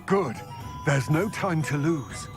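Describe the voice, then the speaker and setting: An actor voicing an aging, proud goblin in a video game, speaking in a formal, measured, eloquent way. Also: male voice, a little high, expressive, vocal fry, music in the background, exaggerated voice. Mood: solemn, measured. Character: aging male goblin, solemn, proud, formal former fighter. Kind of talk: video game cutscene acting.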